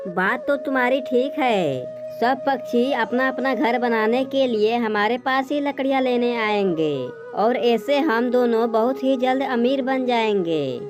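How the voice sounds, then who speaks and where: A woman plays a scheming male crow from a kids' cartoon, always hungry. The voice sits fairly high, with simple storybook phrasing and music playing underneath. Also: measured pace, hardly any pauses, close to an everyday voice. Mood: practical, doubtful. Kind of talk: children's animated moral story dialogue.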